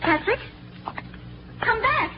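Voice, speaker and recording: An actress playing a distraught, desperate wife being dragged off to an asylum, crying out and pleading in 1940s radio drama style. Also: female voice, very high-pitched, big pitch swings, slow, heavily acted. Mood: desperate, screaming. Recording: muffled, very noisy 1940s radio recording.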